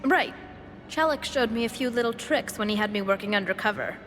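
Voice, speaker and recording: A woman's voice: on the high side, a little fast, a bit bright, natural voice. A woman playing a friendly, plucky young volunteer in a video game, chatty and open in manner. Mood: chatty, matter-of-fact. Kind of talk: game dialogue acting. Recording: reverberant, music behind.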